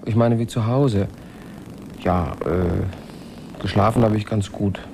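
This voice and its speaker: An actor playing an awkward, shy space traveller in a radio play, speaking haltingly and dryly, often as if to himself. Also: male voice, on the low side, noisy background. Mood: musing. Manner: hesitant, halting, often breaking off and restarting. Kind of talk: naturalistic German radio play acting, partly as a spoken log.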